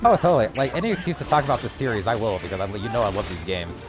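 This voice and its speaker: Guy streaming a game, lively up n down voice, casual opinions, bit of music in the back. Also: fairly low pitch, rapid-fire. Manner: chatty, opinionated, enthusiastic about games. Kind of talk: casual live stream commentary. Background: faint game music.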